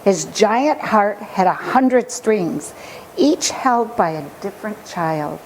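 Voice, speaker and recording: Lady reading a kids picture book out loud, slow and expressive, bit of noise behind her. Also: mid-range voice, rough recording. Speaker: storyteller. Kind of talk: children's picture book read-aloud.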